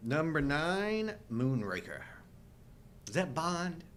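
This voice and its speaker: A middle-aged man chats casually as a show co-host, his animated voice rising and falling widely. He gives joking movie commentary over faint rumbly background noise. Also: slow pace. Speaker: co-host of a live chat show.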